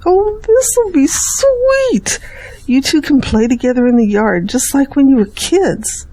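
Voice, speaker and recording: An actor, a woman, playing a sweet, excitable middle-aged mother in an audio drama. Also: high-pitched, slow pace, no room sound, rough recording. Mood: teasing, fond.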